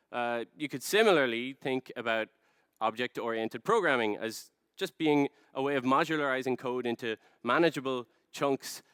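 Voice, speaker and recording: An Irish man in his thirties giving a rehearsed conference presentation, explaining things in a measured, storytelling way with emphasis. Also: mid-range voice, fairly bright tone, smooth, dry recording, studio quality. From Ireland. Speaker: conference speaker, product design director. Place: large dark stage, indoors.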